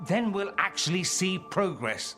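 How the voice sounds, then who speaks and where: Arch, animated rebel schemer. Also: male voice, high-pitched, measured pace, heavily acted. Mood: insistent. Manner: arch, sardonic, eloquent. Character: urbane, scheming male rebel mage-politician, sardonic and bold. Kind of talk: theatrical video game dialogue. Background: background music.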